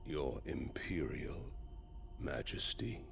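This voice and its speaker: An actor playing an imposing middle-aged warlord in a fantasy game, scornful and coolly sardonic, speaking in a deliberate, mocking manner. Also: male voice, animated, slight background noise, full character voice. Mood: grave, menacing. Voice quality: deep, gravelly. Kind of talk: dramatic fantasy video game acting.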